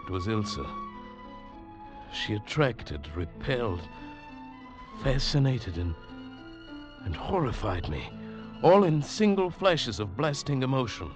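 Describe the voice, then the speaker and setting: A man's voice: mid-range voice, very animated, slow pace. An actor in a mid-century radio drama playing a troubled, spellbound man, intense and hesitant, also narrating dramatically. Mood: fearful, intense narration. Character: troubled, spellbound Englishman drawn to a mysterious woman. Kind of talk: 1940s-50s radio drama acting and narration. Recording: old muffled radio broadcast with music.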